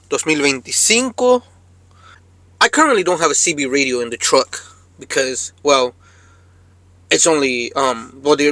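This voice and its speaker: A man's voice: mid-range voice, bright, forceful tone, dry recording. A man talking casually to viewers as a truck driver vlogger, in a laid-back, rambling, slangy way. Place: cab of a parked truck.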